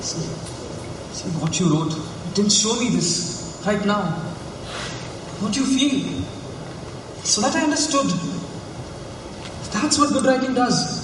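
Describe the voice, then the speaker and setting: A man's voice: sits high, animated, slow pace, long pauses. A man acting on stage as an earnest, rigid college writing teacher, recorded in an echoing hall. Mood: earnest, restrained. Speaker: stage actor. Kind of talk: student stage drama acting. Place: theatre stage, recorded from afar. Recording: echoey hall, far mic, noisy background.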